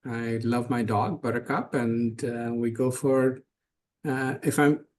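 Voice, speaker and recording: A man's voice: medium pitch, steady tone, takes it slowly, a little hiss or hum. A middle-aged man on a webinar panel, talking earnestly in a casual way, often correcting himself and using many fillers. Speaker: startup CEO on a panel.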